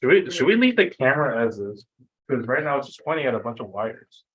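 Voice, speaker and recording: A man's voice: mid-range voice, very animated, quick pace, lo-fi sound. A young man presenting a student workshop in an informal, self-deprecating, rambling way, running on very little sleep. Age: young adult. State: sleep-deprived. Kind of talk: informal slide lecture.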